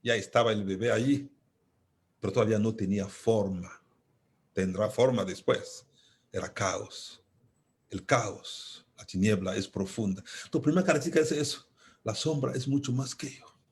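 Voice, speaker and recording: A man from Congo with a Congolese accent, giving a reflective philosophical talk as an invited speaker, deliberate and emphatic, repeating key phrases. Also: on the low side.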